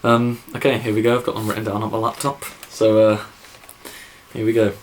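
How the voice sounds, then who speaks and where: Young English man from the Midlands, lowish voice, rambly casual vlogger full of ums and likes. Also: little pitch movement, a little fast, a little hiss or hum. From England, near Birmingham. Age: young adult. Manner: rambling, lots of fillers and "like", says so himself. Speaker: YouTube musician answering viewer questions. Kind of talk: casual vlog Q&A.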